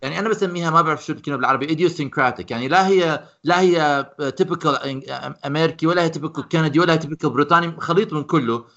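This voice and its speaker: A young man races along in a bright, forceful voice, pitched a little above most men. He jokes easily and slips English words into his talk, and the call audio sounds dull and lo-fi. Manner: quick, joking, mixes in English words. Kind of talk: casual group chat.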